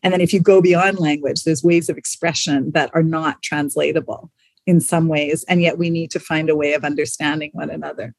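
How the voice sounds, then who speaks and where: A woman's voice: sits low, steady tone, a little fast, darkish tone, no room sound. A woman speaking in reflective conversation, thoughtful and articulate, correcting herself as she goes. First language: English. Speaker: museum professional, interview guest.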